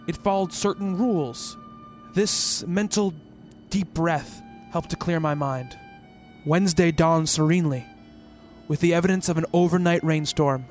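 A man's voice: high-pitched, lightly acted. A man playing a worried, rational researcher in an eerie radio play, speaking as a thoughtful narrator and character over music. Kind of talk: radio play dialogue and first-person narration.

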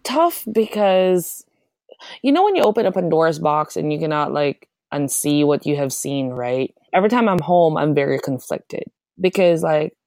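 A woman's voice: deep voice, animated, normal speed, darkish tone, no echo, clean studio sound. A woman from the Philippines, a guest in a casual interview, who laughs often and says "like" a lot. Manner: laughs often, leans heavily on "like".

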